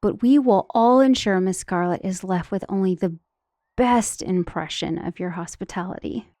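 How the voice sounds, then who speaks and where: A woman's voice: mid-range voice, moderate pace, warm tone, dry recording, close to an everyday voice. A woman playing a dutiful head servant of a country estate in an audio drama, formal, polite and obliging. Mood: apologetic. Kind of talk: scripted audio roleplay drama.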